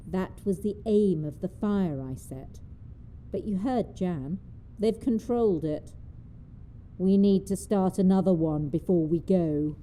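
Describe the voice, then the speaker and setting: A woman's voice: on the low side, a little slow, mellow, a little hiss or hum, lightly acted. An actress playing a feisty, mischievous old woman in an audio drama, her voice muffled. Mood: brisk, determined. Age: older.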